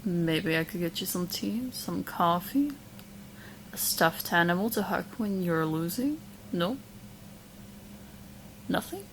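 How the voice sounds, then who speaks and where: A woman acts out a young witch hopelessly fixated on the listener in an audio roleplay. She talks in a slightly low female voice, coy and chatty, with smug confidence and small hesitant asides. A faint ambient noise sits behind her. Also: lively intonation, takes it slowly, pauses often, low-quality recording. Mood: sweetly confident.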